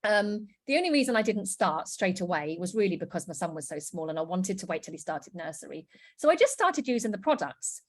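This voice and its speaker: Bubbly british woman in her thirties pitching on a video call, gushing and upbeat. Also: very animated, a little fast. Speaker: business presenter. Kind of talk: online sales presentation.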